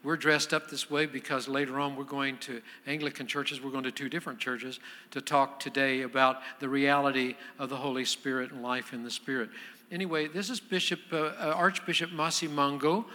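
A man's voice: mid-range voice, flat delivery, brisk, bright, forceful tone. A man, a guest pastor, introducing a visiting archbishop in a loose conversational way with frequent restarts. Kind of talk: sermon introduction. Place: church sanctuary. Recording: long church reverb.

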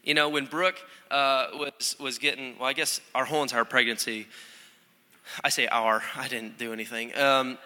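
A young man, a student pastor, preaching a conversational, energetic sermon with frequent restarts, recorded in a church sanctuary. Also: mid-range voice, normal speed. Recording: long hall reverb.

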